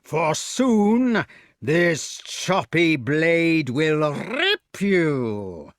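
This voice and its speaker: A blustering giant, played by a male storyteller, sneering out threats word by slow word in a dry, close voice. He gloats, stretching each phrase with lazy menace. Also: on the high side, sing-song, no room sound, pitched above the performer's own voice, heavily acted.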